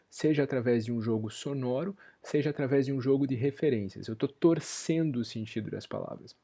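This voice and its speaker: A man's voice: medium pitch, warm tone. A man giving a university lecture, thoughtful and rephrasing his points as he goes.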